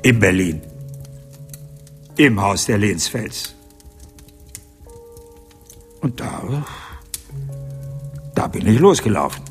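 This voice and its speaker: An actor playing an old man in a German radio drama, confessing bitterly in halting, emotional phrases. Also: fairly low pitch, sing-song, faint music behind, heavily acted. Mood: weary confession. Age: older. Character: old man bitterly confessing his wartime youth, agitated.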